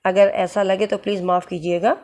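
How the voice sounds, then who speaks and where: A woman with a hoarse throat and an Indian English accent, teaching English literature for exams and explaining each word slowly. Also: medium pitch, little pitch movement, a little mellow. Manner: slow, explaining word by word, checks understanding. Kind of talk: exam-prep lecture in mixed Hindi and English.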